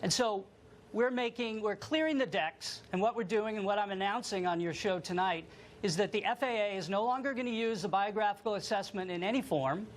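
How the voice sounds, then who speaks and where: Male American official as interview guest, careful explanatory delivery, noticeable background noise. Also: high-pitched. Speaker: government lawyer interviewed as guest. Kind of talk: formal explanatory television interview.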